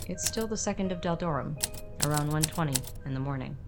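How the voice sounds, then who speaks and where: A woman's voice: low voice, fairly quick, background noise. A woman co-narrating an atmospheric scripted fiction podcast.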